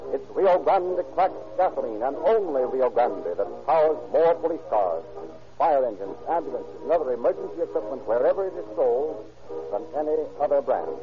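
A man's voice: mid-range voice, phone-quality sound. Man, 1930s radio announcer, formal scripted delivery, declamatory, mid-range voice, music in the recording.

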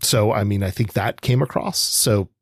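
A man co-hosting a literary podcast, chatting in a halting way as he searches for words and hedges. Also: on the low side, slightly rough, dry recording, studio quality. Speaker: podcast co-host. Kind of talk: literary discussion, conversational.

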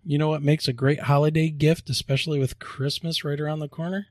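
A man co-hosting a podcast, chatty and upbeat in casual talk, quick to laugh. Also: a little high, level tone, a little mellow, no echo, clean studio sound. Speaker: podcast co-host.

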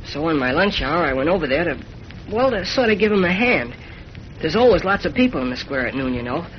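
An actor playing an eager, earnest young cub reporter in a folksy 1940s radio drama style. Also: male voice, a little high, very animated, brisk. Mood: earnest, recounting. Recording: muffled, noisy old radio recording.

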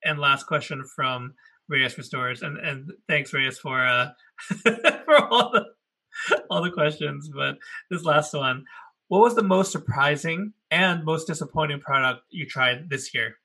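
Bright-voiced man chatting casually. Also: a little high, a little slow. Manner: rambling, self-correcting, laughs often. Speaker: podcast co-host. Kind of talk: casual chat.

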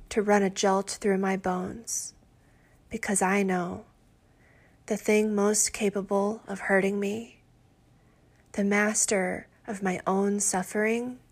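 A woman poet reading her own work aloud in a measured, literary style. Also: medium pitch. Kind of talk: poetry reading.

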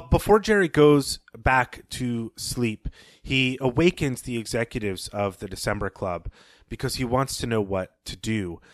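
A man co-hosting a podcast, thoughtfully recapping and discussing a story with some pauses and restarts. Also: mid-range voice, dry recording. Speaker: podcast co-host. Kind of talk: casual book discussion.

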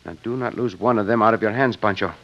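A man's voice: fairly low pitch, fast, natural voice. A man playing a bold, resourceful Mexican cowboy adventurer in a Western radio drama, with a Mexican accent and confident, formal phrasing. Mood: brisk. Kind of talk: 1950s Western radio acting. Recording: muffled old radio recording, faint noise.

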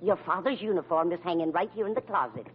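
A woman's voice: on the low side, expressive, fast, breathy edge. An actress playing a fond, soothing middle-aged mother with a tactful manner in an old-time radio comedy. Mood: fond, encouraging. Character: fond, encouraging mother of a grown son. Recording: muffled old radio recording.

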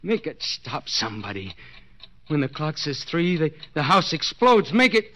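Desperate jealous husband, wildly swinging voice. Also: male voice, on the high side, sing-song, pushed and bright, muffled recording. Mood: frantic panic. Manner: intense, halting, repeating words in mounting panic. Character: desperate, jealous husband, a clock repairman trapped bound in cellar. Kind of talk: 1940s radio suspense acting, inner monologue. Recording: noisy vintage 1940s radio recording.

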